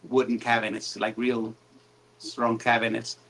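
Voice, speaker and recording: A man's voice: mid-range voice, completely flat delivery, measured pace, fairly bright tone, slight background noise, low-quality recording. A man joining a casual live stream as a guest, telling stories in a chatty, meandering way with fillers. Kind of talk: casual storytelling chat.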